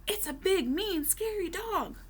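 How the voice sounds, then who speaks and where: A woman's voice: very high-pitched, animated, unhurried, voice pushed up. A woman voicing a sneaky burglar from a children's storybook, in an exaggerated, exclaiming way. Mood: alarmed. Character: sneaky burglars whispering, jumpy and cooing, in a storybook.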